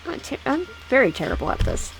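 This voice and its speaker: A woman's voice: high voice, very animated, brisk, soft background music, voice pushed up, low-quality recording. A woman voicing a shy, self-deprecating young man in a game read-aloud, talking hesitantly and sheepishly.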